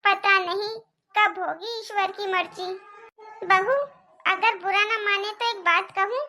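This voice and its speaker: An older Indian cartoon mother-in-law, acted by a woman, with an extremely high, childlike pitch and a bright, sharp edge. She laments and coaxes her family with pleading insistence. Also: fairly even intonation, unhurried, slight background noise, heavily acted. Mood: lamenting. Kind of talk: cartoon family drama acting.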